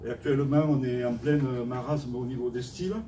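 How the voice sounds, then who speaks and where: An older man from France, an award-winning craftsman speaking on stage in a large hall, plain and modest, halting as he searches for words. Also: mid-range voice, little pitch movement, dark tone. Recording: echoey hall, far from mic, background noise.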